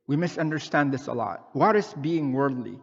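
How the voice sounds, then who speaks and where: A man's voice: mid-range voice, expressive, normal speed, creaky, long reverb tail, lo-fi sound. A man from the Philippines preaching a sermon in a conversational way, often checking in with the congregation by asking "okay?" or "right?". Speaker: pastor.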